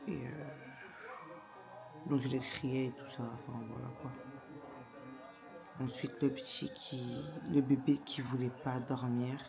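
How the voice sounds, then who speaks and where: A young woman chatting casually in a vlog, with a stammer that makes her repeat and restart words in a halting way. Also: extremely low pitch, level tone, vocal fry, over music. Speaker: lifestyle vlogger, mother of young children. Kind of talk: casual chat to camera.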